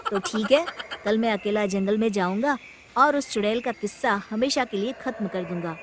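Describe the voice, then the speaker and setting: A woman's voice: medium pitch, expressive, moderate pace, heavy background noise, close to an everyday voice. A woman voicing a cunning, confident village man in a storybook tale, speaking persuasively. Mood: determined.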